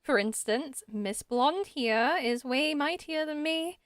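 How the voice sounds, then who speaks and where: A woman voicing a kindly, protective young village woman in a game, gentle and mildly scolding. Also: high-pitched, slow, no room sound, studio quality. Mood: gentle, reassuring.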